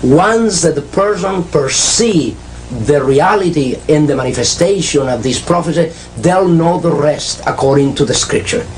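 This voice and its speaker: A man's voice: on the high side, a little mellow, a little hiss or hum, poor audio. A man explains religion in an emphatic, repetitive, didactic way, as if answering an interviewer. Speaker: religious speaker on prophecy. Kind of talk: interview answers, expounding church history and prophecy.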